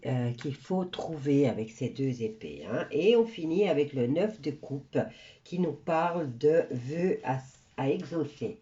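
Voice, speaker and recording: A woman's voice: a bass voice, dark tone, lo-fi sound. A woman with a stuffy cold doing a casual tarot reading for viewers, chatty and rambling with many hesitations. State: stuffy nose from a cold, coughing. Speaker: tarot card reader.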